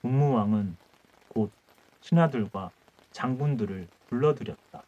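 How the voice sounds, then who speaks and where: A man narrating a folk tale as a calm audiobook reader, reading in measured short phrases. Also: mid-range voice, dull audio. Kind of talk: calm bedtime storytelling.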